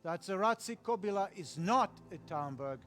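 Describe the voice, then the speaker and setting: An actor playing a haughty noble knight and royal envoy in a video game, declaiming in a formal manner. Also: male voice, sits high, lively intonation, normal speed, fairly bright tone, soft background music. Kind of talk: scripted video game cutscene acting.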